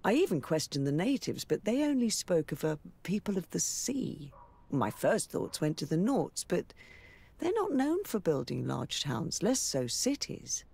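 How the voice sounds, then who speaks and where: An actress playing a curious, scholarly explorer in a video game, explaining things thoughtfully and articulately. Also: female voice, deep voice, gravelly, a little mellow, slightly rough, heavily acted. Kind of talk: video game character dialogue.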